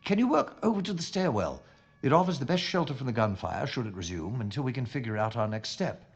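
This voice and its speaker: Man playing droll British police inspector, lively intonation. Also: mid-range voice, slightly rough. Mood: wry, calculating. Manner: formal, droll, measured. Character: dry, composed male police inspector in wartime London. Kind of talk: period audio drama acting. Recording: muffled, with noticeable background ambience.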